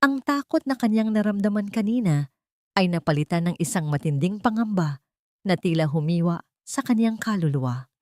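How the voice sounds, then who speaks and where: A woman's voice: medium pitch, lively intonation, moderate pace, darkish tone, dry recording, clean studio sound. A woman narrator reading a scripted story in a dramatic storytelling style.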